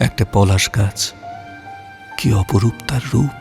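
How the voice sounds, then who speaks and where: A man playing a romantic, playful poet in an audio drama, with lyrical, expressive phrasing. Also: on the low side, creaky. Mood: dreamy, enraptured. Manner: lyrical, drawn-out phrasing, teasing. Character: romantic male poet, tender, playful, mock-aggrieved lover. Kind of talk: Bengali audio drama with poetry recitation. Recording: music under the voice. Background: background music.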